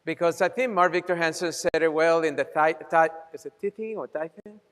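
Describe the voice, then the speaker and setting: A man's voice: a little high, a little fast, fairly bright tone. A man with a Colombian accent co-hosting an event and appealing to the audience for donations in an echoey hall. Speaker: event co-host.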